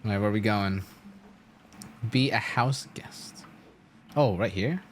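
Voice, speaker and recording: A game streamer giving casual, slangy, excitable live commentary while playing. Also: mid-range voice, takes it slowly, a little hiss or hum.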